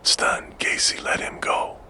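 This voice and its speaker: A man tells a true crime story entirely in a breathy, unvoiced whisper, close and hushed. He paces each line slowly and deliberately, the way a soft-spoken narrator reads for sleep.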